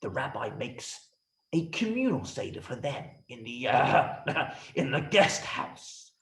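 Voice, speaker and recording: Man playing a sly, stammering prime minister, very animated. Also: medium pitch, a little slow, pitched below the performer's own voice, exaggerated voice. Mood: conspiratorial. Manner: stammering, throat-clearing, insinuating. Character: scheming, obsequious male prime minister, sly and bumbling. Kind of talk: theatrical children's storytelling. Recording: roomy, echoey room sound.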